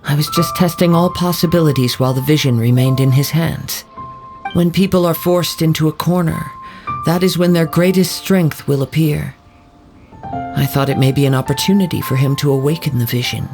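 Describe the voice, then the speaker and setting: Young male samurai, played by a man, calm, measured, solemn, music behind. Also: fairly high pitch, lightly acted. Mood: explaining. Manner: measured, soft-spoken, solemn. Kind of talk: game voice acting. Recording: game audio over music.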